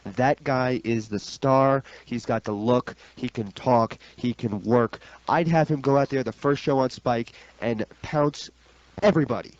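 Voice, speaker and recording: A man's voice: mid-range voice, a little slow, no echo. A man co-hosting a radio show, giving blunt, emphatic opinions in casual sports chat. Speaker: radio co-host. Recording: dull, lo-fi radio recording.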